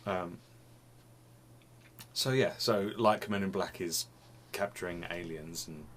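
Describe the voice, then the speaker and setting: A man's voice: low voice, slow, a bit bright, a little hiss or hum. A young man chatting casually to camera in a small home room, rambling and restarting his phrases, slightly sniffly. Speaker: vlogger.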